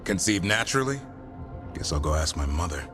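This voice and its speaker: A very deep-voiced elf warrior talks bluntly and dryly. He is wry and plainspoken, a fantasy game companion with faint music behind him. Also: very animated, brisk. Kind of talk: video game voice acting.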